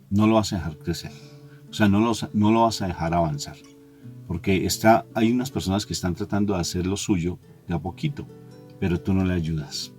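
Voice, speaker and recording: Low-voiced man preaching conversationally. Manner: emphatic repetition, direct questions to the listener. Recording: soft guitar music behind the voice. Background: guitar music.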